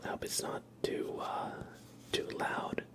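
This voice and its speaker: A man hosting an ASMR livestream, chatting casually in a soft, hushed, gentle manner. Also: slow pace. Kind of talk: whispered ASMR, casual rambling chat.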